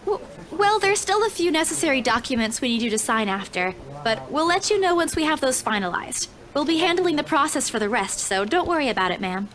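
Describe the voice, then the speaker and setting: Timid young estate agent, very high lively pitch, hesitant, earnest, polite, visual novel. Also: female voice, brisk, faint music behind. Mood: flustered, polite.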